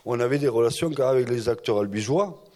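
A man who organized a festival, recalling its early years in a halting, hesitant way before a gathering. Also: mid-range voice, warm tone. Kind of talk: recollections before an audience.

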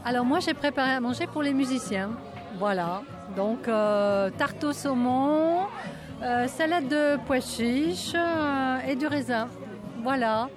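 An older retired woman answering interview questions carefully, with pauses and fillers, at an outdoor neighbourhood party. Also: sits high. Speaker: retired resident volunteer. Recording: outdoor party with music behind.

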